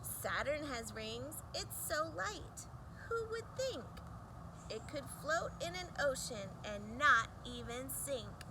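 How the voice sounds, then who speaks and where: Female children's storybook reader, high-pitched, unhurried, emphatic rhyming delivery with deliberate pauses. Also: sing-song, noisy background. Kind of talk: expressive read-aloud of a rhyming picture book for children.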